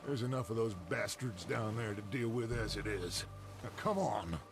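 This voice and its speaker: An actor playing a middle-aged American outlaw gang leader in a western game, oratorical and commanding. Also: male voice, on the low side, expressive, moderate pace, gravelly. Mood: urgent, low. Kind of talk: cinematic western game acting. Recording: noisy outdoor ambience with horse hooves.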